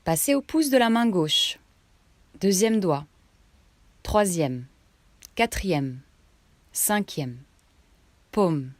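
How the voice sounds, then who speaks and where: A woman guiding a relaxation meditation, giving instructions in short phrases with pauses between them. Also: fairly low pitch, lively intonation, no room sound. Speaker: yoga nidra meditation guide.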